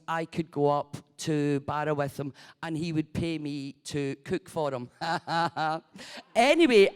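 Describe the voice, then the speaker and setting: A woman on a festival panel telling a personal story in a lively, laughing way. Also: low-pitched. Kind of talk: casual anecdote. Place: large hall at a festival. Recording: reverberant hall.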